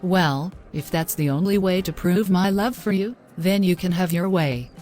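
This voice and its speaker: A woman's voice: fairly low pitch, moderate pace, a little mellow, faint music behind, natural voice. A woman playing a devout, distressed young girlfriend in a scripted moral story, speaking earnestly in formal phrases. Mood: resigned.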